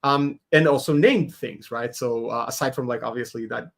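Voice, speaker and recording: A man's voice: mid-range voice, normal speed, gravelly, crowd noise. A man, a Hebrew speaker, talking animatedly as a guest in a casual podcast interview, laughing often. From Israel. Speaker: guest artist.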